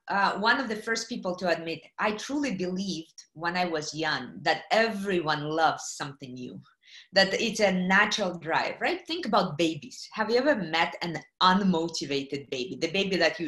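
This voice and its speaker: Middle-aged woman from Kazakhstan, guest speaker on a video call, lower voice, roomy echo. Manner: lively, fillers, asks the audience questions. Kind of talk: webinar presentation. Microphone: computer mic on video call.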